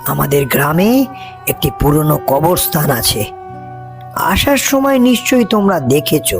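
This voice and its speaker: A man's voice: fairly high pitch, sing-song, a little slow, music in the background. A man voicing a loyal, superstitious village servant in a cartoon, deferential, earnest and foreboding. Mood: ominous. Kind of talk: cartoon voice acting.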